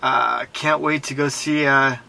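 A man's voice: medium pitch, measured pace, gravelly, slight background noise. A man in his thirties from France with a French accent, casually narrating a road-trip vlog with lots of fillers. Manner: relaxed, rambling with many fillers. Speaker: travel vlogger. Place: camper van and outdoors at a picnic table. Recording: music bed under voice. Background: music.

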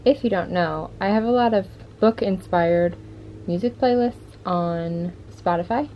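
Girl in her 20s doing a chatty excited vlog at home, bit of background noise. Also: fairly low pitch, unhurried, dull audio. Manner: enthusiastic, informal. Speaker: book vlogger. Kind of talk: casual vlog chat. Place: at home, mostly in a bedroom.

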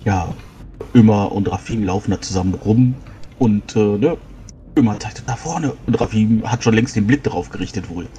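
A man's voice: lowish voice, moderate pace, warm tone. A man chatting casually as a player at a tabletop role-playing game.

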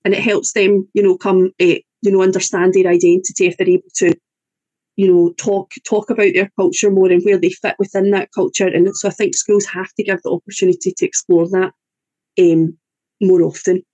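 A woman's voice: fairly low pitch, flat delivery, brisk, dark tone, no echo, poor audio. A woman with a Scottish accent, an English-language teacher, giving an online presentation over a video call, often hesitating with fillers and restarts. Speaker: EAL teacher.

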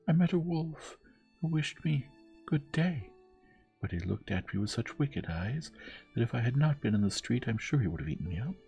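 A man voicing a frightened little girl in a fairy tale, speaking high and in breathy whispers. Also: sing-song, moderate pace, mellow, soft background music. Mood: breathless.

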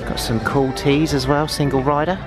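Casual man vlogging over loud store bustle. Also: a little high, level tone, moderate pace. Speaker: vlog host. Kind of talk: casual shopping vlog narration. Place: busy retail store indoors. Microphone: handheld camera, operator behind it. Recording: loud store music and crowd noise. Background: loud music and shopper crowd.

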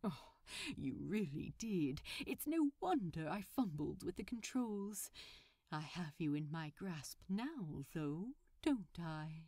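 A woman's voice: on the low side, very animated, slow, dark tone, no echo, studio quality, pitched below the performer's own voice, heavily acted. A woman reading a storybook role as a polite, coy male supervillain who is affectionately smitten.

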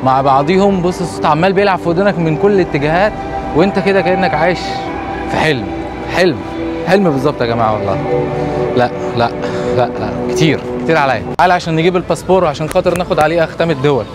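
A man in his thirties with an Egyptian Arabic accent, chatting fast and excitedly to his viewers as he films himself at an expo. His voice sits a touch high, with music playing underneath. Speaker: travel vlogger. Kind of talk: casual travel vlog. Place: outdoor expo grounds and indoor pavilions.

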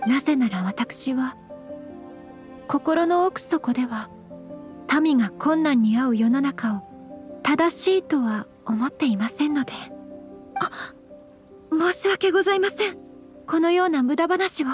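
A high, girlish voice of a well-born young lady in an anime game. She is gentle and principled, with very formal, composed phrasing. Music runs behind her. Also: measured pace, pauses often. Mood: modest, sincere. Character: refined young noblewoman, gentle, modest and principled.

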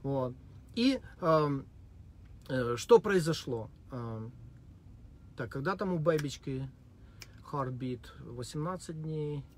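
Deadpan man, mock-serious monologue. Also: a little high, takes it slowly, pauses often, a little hiss or hum. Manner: deadpan, mock-serious, hesitant with many fillers. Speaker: vlogger. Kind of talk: sarcastic spoken monologue.

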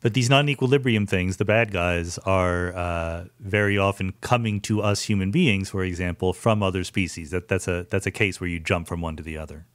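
A man's voice: sits low, normal speed, few pauses. A man hosting a podcast interview, asking curious, engaged follow-up questions in a conversational way. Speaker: podcast host.

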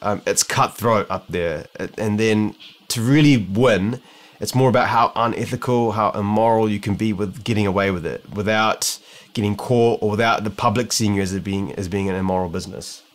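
A man's voice: fairly low pitch, normal speed. A young man with a New Zealand accent muses aloud to a camera close in front of him, indoors at home. He rambles along casually, often breaking off and restarting his sentences as he works through his thoughts. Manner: musing, rambling, many restarts. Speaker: optometrist vlogger. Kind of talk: casual talking-head vlog. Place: home living room, indoors.

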